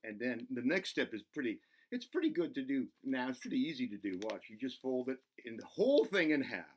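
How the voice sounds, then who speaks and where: An excitable buzzard acted out by a man hosts a children's show with goofy, playful energy. The mid-pitched voice swings widely up and down, breaking into its own sentences and chatting to itself in the third person as it coaxes the kids along. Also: brisk. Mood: playful, encouraging. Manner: giggly, self-interrupting, talks to himself in third person. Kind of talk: playful craft demonstration for children.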